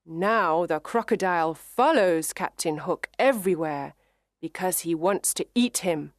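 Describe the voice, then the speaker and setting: A woman reading a children's story aloud in clear, measured narration for English learners. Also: on the low side, dry recording, clean studio sound.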